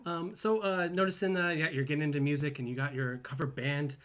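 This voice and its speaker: A man hosting a fan panel with a slightly higher voice, easygoing and encouraging. He strings his questions together with a lot of ums and likes. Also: fairly even intonation, brisk, fairly bright tone. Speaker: panel host. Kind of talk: casual interview questions.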